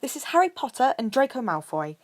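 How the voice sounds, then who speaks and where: A woman voicing a studious, excitable, bossy schoolgirl in an audiobook, with a bright and emphatic manner. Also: sits high, a little fast, dry recording, pitched above the performer's own voice. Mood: cheerful.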